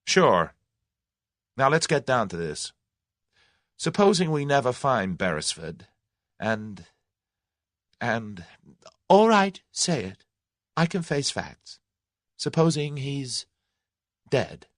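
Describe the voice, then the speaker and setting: A direct, warm-hearted young American man from an audiobook novel, as a man performs him. He is forceful and slangy, with lively, jumping pitch. The recording is close and dry. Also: slow, long pauses, no echo. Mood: blunt. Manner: forceful, plain-spoken, slangy. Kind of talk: audiobook character voice.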